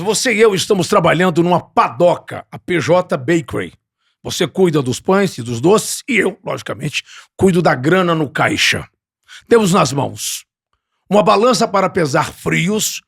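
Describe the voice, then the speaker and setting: A man's voice: on the high side, creaky, husky. A middle-aged man with a Brazilian Portuguese accent hosting a podcast, asking questions in a teasing, theatrical way. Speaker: podcast host. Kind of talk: podcast interview, playful job game.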